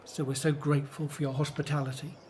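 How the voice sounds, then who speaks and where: Male actor as warm older brother, fairly high voice, grateful, radio drama. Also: level tone, quick pace, slight background noise, natural voice. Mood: grateful, eager.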